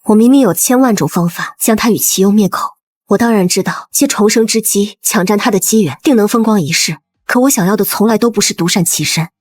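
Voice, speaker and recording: A woman playing a composed, resolute young noble lady in a dubbed animated drama, speaking in deliberate, formal phrases. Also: mid-range voice, dry recording, lightly acted.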